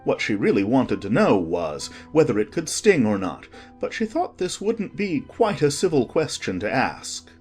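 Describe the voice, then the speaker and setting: A man's voice: medium pitch, huge pitch swings, normal speed, few pauses, darkish tone, faint music behind. A man narrating a children's story from a script as the storyteller.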